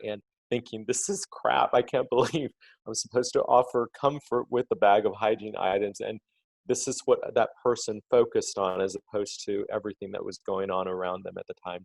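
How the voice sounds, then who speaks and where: A man with a fairly low voice speaks with earnest feeling, stumbling and restarting as he thanks the panel. The sound is close and dry, with little room around it, as is usual on a video call. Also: vocal fry, darkish tone, no echo, poor audio. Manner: earnest, emotional, restarts often. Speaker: Red Cross colleague thanking the panel. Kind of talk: heartfelt spoken remarks on a video call.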